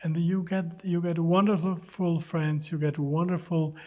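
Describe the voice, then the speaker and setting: A man's voice: a little high, little pitch movement, measured pace. A man from North Macedonia, answering interview questions earnestly and searching for English words. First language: Albanian. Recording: dull lo-fi sound.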